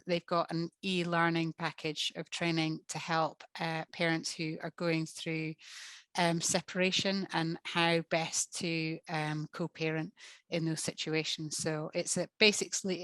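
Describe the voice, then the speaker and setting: Low, even-voiced woman explaining informally. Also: dry recording. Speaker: session co-organiser. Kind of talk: informal explanation of an upcoming session.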